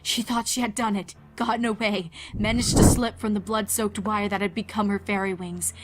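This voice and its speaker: A woman's voice: medium pitch, little pitch movement, normal speed, poor audio. Woman narrating a story over faint music. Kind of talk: scripted fan fiction narration, horror story.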